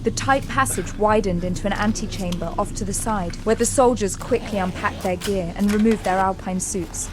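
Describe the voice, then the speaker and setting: Serious female narrator over loud music. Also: mid-range voice. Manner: serious, deliberate storytelling. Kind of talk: dramatic scripted narration.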